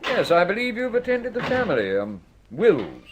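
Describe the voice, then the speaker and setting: Very animated male hospital doctor. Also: high voice, normal speed, noisy background. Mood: matter-of-fact. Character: affable hospital doctor, chatty with a colleague. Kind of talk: radio drama acting.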